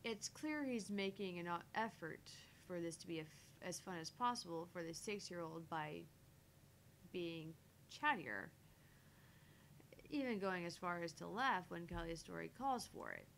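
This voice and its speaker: A woman's voice: lowish voice, lively intonation, normal speed, gravelly, a bit bright, a little hiss or hum. A woman streamer reading a visual novel aloud in first-person narration with casual asides.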